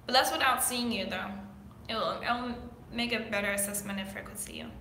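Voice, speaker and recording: A woman's voice: fairly high pitch, brisk, sharp tone, a little hiss or hum. A young woman from Denmark with a Danish accent, answering viewer questions as a fitness coach in a casual, chatty way with lots of fillers. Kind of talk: casual Q&A vlog. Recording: echoey room.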